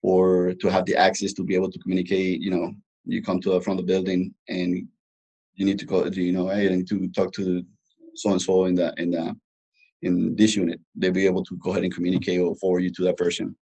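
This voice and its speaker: A man presenting an informal technical webinar as a product trainer, explaining haltingly with frequent restarts and repetitions. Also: sits low, monotone, mellow, slightly rough, low-quality recording.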